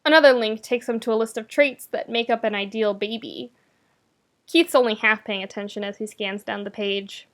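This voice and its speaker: Higher-voiced woman reading a story. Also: fairly even intonation, moderate pace. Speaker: podfic reader, narrator. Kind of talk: scripted narration of fan fiction, expressive audiobook reading.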